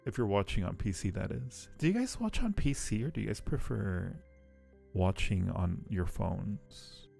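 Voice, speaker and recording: A man's voice: on the low side, animated, moderate pace, gravelly, mellow, soft background music. A man chatting casually and playfully with viewers on a livestream, teasing them affectionately. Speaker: live streamer hosting a chat.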